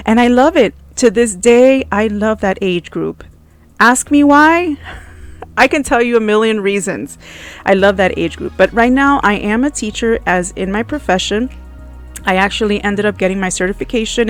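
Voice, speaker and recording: Conversational American woman podcast host. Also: mid-range voice. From New Jersey and Miami, US. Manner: chatty, conversational. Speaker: podcast host, middle school teacher. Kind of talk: personal storytelling to listeners.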